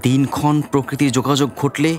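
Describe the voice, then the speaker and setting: An actor playing an opinionated old villager in an audio drama, speaking of ghosts in an ominous, rhetorical way. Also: male voice, medium pitch, level tone, a little fast, a little mellow, natural voice. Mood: ominous, knowing. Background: faint music.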